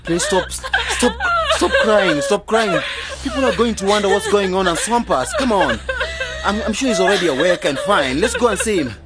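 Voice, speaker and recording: Radio drama part: anxious go-between, high lively voice, stammered pleas, noticeable background noise. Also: male voice, normal speed. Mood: soothing, urgent. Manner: nervous, stammering repetitions. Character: anxious, pleading male peacemaker friend.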